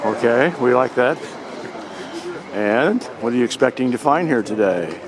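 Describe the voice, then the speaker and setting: A man's voice: medium pitch, lively intonation, moderate pace. A man doing casual roving interviews as a host. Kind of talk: casual vox-pop interviewing. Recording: crowd and outdoor street noise.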